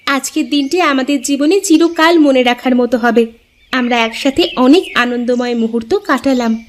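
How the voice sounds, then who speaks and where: A woman's voice: high voice, normal speed, a little hiss or hum, natural voice. A woman voicing a friendly, earnest talking fish shopkeeper in a cartoon, speaking in formal little speeches. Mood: encouraging. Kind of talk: scripted cartoon dialogue.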